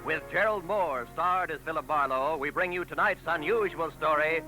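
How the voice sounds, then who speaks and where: A man's voice: normal speed, sharp tone, poor audio. Formal 1940s radio announcer man. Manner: formal, booming. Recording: old radio broadcast with music.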